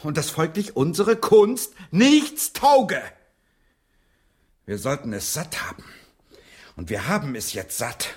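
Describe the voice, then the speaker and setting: A proud, swooping, husky English playwright. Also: male voice, fairly high pitch, big pitch swings, takes it slowly, pauses often, exaggerated voice. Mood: sarcastic, fed up. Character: proud, indignant English playwright, sardonic and theatrical. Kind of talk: German radio play acting.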